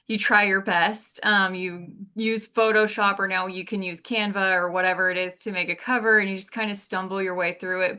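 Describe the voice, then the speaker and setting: A woman's voice: medium pitch, pushed and bright. A woman speaking as a podcast guest in casual interview chat, explaining things informally and encouragingly. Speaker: podcast guest, author and storyteller.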